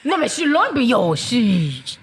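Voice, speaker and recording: A woman's voice: on the high side, very animated, normal speed, slight background noise. A young woman with a Quebec French accent, co-hosting a podcast and telling stories in slangy, dramatic bursts. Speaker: podcast co-host. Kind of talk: casual chat.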